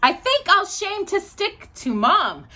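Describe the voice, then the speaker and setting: A woman hosting a children's storytime, reading aloud in an animated, sing-song manner. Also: very high-pitched, slow pace.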